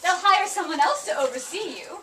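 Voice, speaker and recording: A woman's voice: very high-pitched, lively intonation, fairly quick, bright, forceful tone, heavily acted. A woman voicing a bossy grown woman who runs an orphanage, barking orders in an amateur puppet show. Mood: defensive. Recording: roomy, distant, noisy live room.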